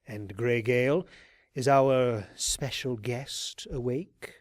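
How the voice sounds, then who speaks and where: A man playing a coldly polite, sinister pony cult leader in an audio drama, speaking in a measured, formal and menacing way. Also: medium pitch, no echo, studio quality, lightly acted. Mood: commanding. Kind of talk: fan audio drama acting.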